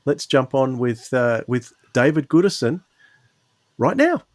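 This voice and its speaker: A man's voice: medium pitch, very animated, a little slow, dry recording. A man hosting a podcast, chatting casually in a rambling, hesitant way and often correcting himself. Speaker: podcast host. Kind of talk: casual conversational podcast talk.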